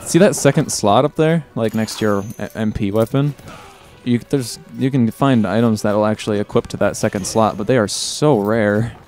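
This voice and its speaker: A playful man with a fairly low voice, cracking puns during a game session. Faint game music sits behind him. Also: moderate pace, a little mellow. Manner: playful, punning, giggly. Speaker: co-host. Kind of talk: casual gameplay chat.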